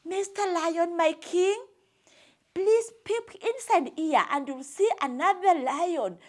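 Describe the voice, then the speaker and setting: A woman's voice: very high-pitched, takes it slowly, voice pushed up. A woman storyteller voicing a small, clever hare who pleads meekly and hesitantly in a children's tale. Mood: coaxing. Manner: apologetic, hesitant stammering.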